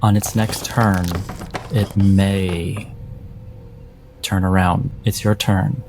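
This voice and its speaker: A low male voice, very unhurried, with music playing clearly behind it. He is a game master narrating horror in a deliberate, suspenseful way, breaking sentences into short dramatic pieces. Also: level tone, a little mellow. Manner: deliberate, suspenseful, with broken phrasing.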